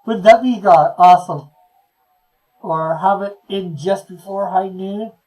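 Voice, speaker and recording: Guy, slow halting talk, chuckles a lot, casual stream host. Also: sits high, darkish tone, soft background music, low-quality recording. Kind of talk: casual chat, reading out viewer comments.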